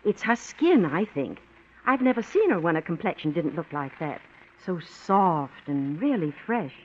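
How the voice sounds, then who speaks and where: A woman's voice: fairly low pitch, very animated, unhurried, darkish tone, a little hiss or hum. A chatty woman in an old ad. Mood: admiring. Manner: chatty, admiring. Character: chatty woman gossiping in a soap ad. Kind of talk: 1940s radio ad acting. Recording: dull 1940s radio broadcast.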